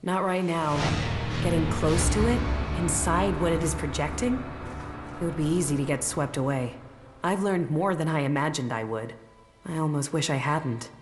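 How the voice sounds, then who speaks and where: An actress playing an earnest, thoughtful mage uneasy about her powers in a video game, speaking in a measured way. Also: low voice, close to an everyday voice. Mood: pensive. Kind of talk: video game cutscene acting. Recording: echoey reverberant space, music behind.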